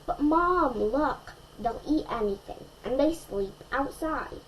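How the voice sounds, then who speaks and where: A woman's voice: high-pitched, lively intonation, slow pace, a little hiss or hum, poor audio. A woman voicing an eager, earnest little boy with a coaxing manner in a storybook. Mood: pleading. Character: eager, pleading little boy who loves dinosaurs.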